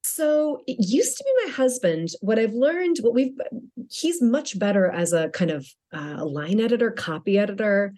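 A woman's voice: mid-range voice, big pitch swings, moderate pace, warm tone. A middle-aged woman author answering interview questions in a chatty way, often restarting her sentences and laughing lightly.

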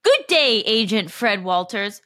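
A woman playing an overly polite, eager traveler baiting a scammer, drawn-out and barely suppressing amusement. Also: medium pitch, very animated, fairly bright tone, voice pushed down. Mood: cheerfully formal. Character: mock-earnest scam baiter posing as eager traveler.

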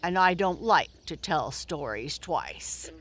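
A gruff, self-important old frog croaks out his words slowly and deliberately, as a woman puts on his voice. His voice sits low, loud and heavy. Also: very animated, exaggerated voice. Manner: deep, gruff, slow and pompous.

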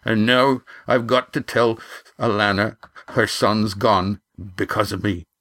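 A man voicing a gruff, commanding sailing skipper in a storybook, giving clipped orders in rustic seafaring speech. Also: fairly low pitch, steady tone, measured pace, a bit bright. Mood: remorseful. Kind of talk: audiobook character acting.

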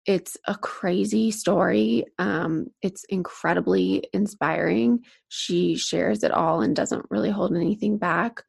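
A podcast host, a woman with a heavily gravelly and husky voice, introduces her guest in a soft, earnest way. Her speech is sprinkled with fillers, and her pitch sits in the middle of the range. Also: slow, hardly any pauses.

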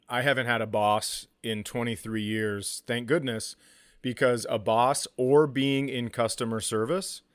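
A man's voice: medium pitch, a bit bright, no echo, studio quality. A man telling stories in casual comic banter, swearing freely and acting out bits of dialogue as a podcast co-host. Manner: profane, acts out dialogue while telling stories. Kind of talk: casual comedic storytelling and banter.

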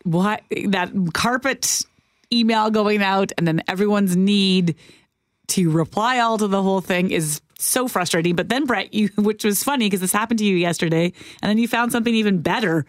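A woman's voice: medium pitch, normal speed, no echo. Lady radio cohost, casual teasing banter, blunt, very dry studio sound. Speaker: radio show co-host.

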